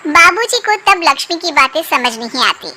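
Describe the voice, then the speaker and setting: A woman's voice: sits high, sing-song, normal speed, noisy background. A woman narrating a scripted comic cartoon story as its storyteller.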